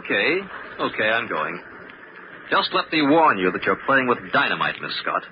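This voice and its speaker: A man's voice: mid-range voice, sing-song, moderate pace, natural voice. An actor playing a cool, persistent young man in an old radio drama, wry and insinuating. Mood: cool, warning. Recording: muffled old radio recording, light noise.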